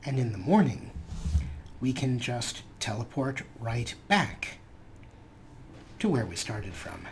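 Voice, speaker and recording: Male let's play host with a mid-range voice, dry and dramatic, narrating in the third person over loud game ambience. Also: medium pitch, big pitch swings, takes it slowly, pauses often, slight background noise. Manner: dry, dramatic storyteller, refers to his character in third person. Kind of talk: wry narrated gameplay commentary.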